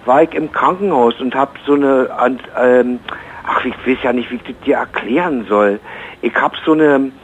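Dull, noisy call from a rambling man. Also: mid-range voice. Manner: halting, searching for words. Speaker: caller to a radio phone-in. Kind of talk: rambling casual storytelling.

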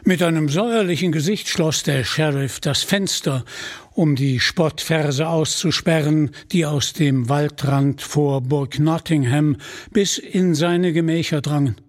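A man's voice: fairly high pitch, measured pace. A man narrating a scripted storybook tale as an audiobook reader.

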